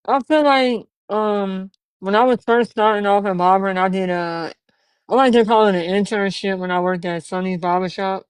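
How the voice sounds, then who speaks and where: A man's voice: high-pitched, fairly even intonation, moderate pace, clean tone, no room sound. A man in his twenties hosting a podcast, earnest and encouraging, telling stories from his own start as a barber. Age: 20s. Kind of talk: casual interview chat.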